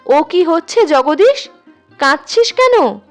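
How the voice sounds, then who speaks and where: A woman storyteller voicing a proud, protective, sharp-tongued elder sister in a literary story, speaking emphatically. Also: extremely high pitch, big pitch swings, slow pace, soft background music, pitched above the performer's own voice, exaggerated voice. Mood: concerned.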